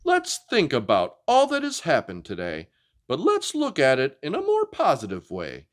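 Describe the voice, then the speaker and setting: Storybook dinosaur sidekick, male reader: upbeat, reassuring, highly animated. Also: moderate pace. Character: upbeat, encouraging young dinosaur friend who reassures.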